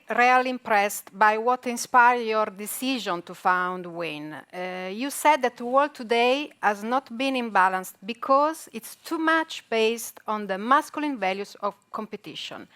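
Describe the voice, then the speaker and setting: Hesitant woman moderator, smooth voice. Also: on the high side, a bit bright. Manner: hesitant, many fillers. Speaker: event moderator. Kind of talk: moderating with prepared questions.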